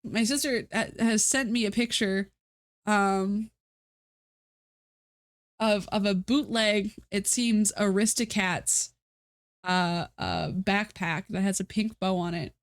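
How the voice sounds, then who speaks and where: A woman's voice: mid-range voice, no room sound, studio quality. A woman streaming live, chatting casually to her viewers with a stuffy nose, rambling through fillers and restarts. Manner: rambling, self-mocking, many fillers and restarts.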